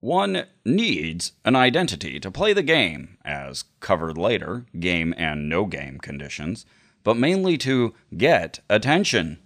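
Animated, lowish-voiced man reading aloud. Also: a little slow. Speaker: podcast host. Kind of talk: dramatic read-aloud of a book with casual asides.

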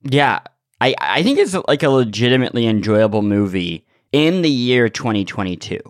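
A man's voice: medium pitch, normal speed, dry recording, studio quality. A man co-hosting a podcast in casual comedic chat, telling stories animatedly and acting out people's reactions. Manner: animated storytelling, acting out reactions in quoted lines. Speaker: podcast co-host.

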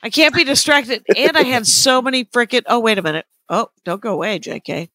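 Woman, scratchy hoarse voice, tired, bright and sharp tone, dry close mic, deadpan, casual chat. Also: on the high side, a little fast, no echo. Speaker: comedian podcast co-host.

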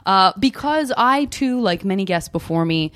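A woman's voice: mid-range voice, lively intonation, normal speed, a bit bright, no echo. Effusive woman podcast host, dry close sound. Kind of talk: casual conversational chat.

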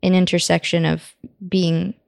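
A woman's voice: fairly low pitch, level tone, a little slow, vocal fry, darkish tone, no room sound, studio quality. A woman in her twenties from the southern US, a musician guest who chats casually, laughs easily and wanders off on tangents. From Southern US, Tennessee. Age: 20s. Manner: laughing, tangent-prone, self-deprecating. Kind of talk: casual interview chat.

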